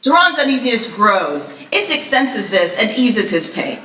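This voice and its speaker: A woman's voice: mid-range voice, sing-song, unhurried, pushed and bright, a little hiss or hum. A woman announcing and reading scripted screenplay narration at a live table read. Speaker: announcer and stage-direction reader. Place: live festival table read, echoing room. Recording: echoey hall from far off.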